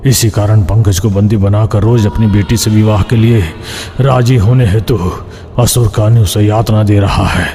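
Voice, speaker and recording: A man's voice: fairly low pitch, vocal fry, warm tone. A man voicing a defiant severed ghostly hand that serves a demon, taunting and explaining in a horror cartoon. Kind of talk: Hindi horror cartoon dialogue. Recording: long hall reverb, background noise.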